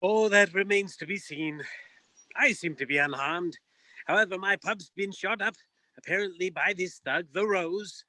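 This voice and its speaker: A man acts out a prim, loyal publican in a noir comedy on stage. His voice is high and bright, with careful formal phrasing and dry understatement, recorded close and dry. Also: normal speed, dry recording. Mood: dry, concerned. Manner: formal, fussy, precise wording with deadpan understatement. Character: proper, dryly witty male pub owner, loyal friend. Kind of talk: comic noir stage acting.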